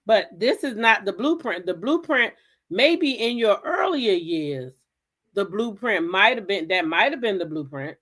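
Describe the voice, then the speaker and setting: A woman's voice: mid-range voice, expressive, unhurried, fairly bright tone. An American woman hosting a gossip talk show, giving blunt, emphatic commentary in a casual style and repeating phrases for effect.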